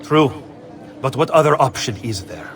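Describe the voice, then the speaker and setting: An actor playing a shrewd, wry Renaissance gentleman in a video game, with an Italian accent and a dry, composed manner. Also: medium pitch, expressive, normal speed, background noise, close to an everyday voice. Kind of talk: dramatic video game cutscene acting. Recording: music behind.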